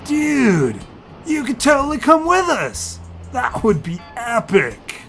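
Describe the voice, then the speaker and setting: A man voicing an excitable young alien surfer dude in an audio story, full of exclamatory slang. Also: very high voice, slow pace, soft background music, pitched above the performer's own voice, full character voice. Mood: excited, friendly. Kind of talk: audio fiction character acting.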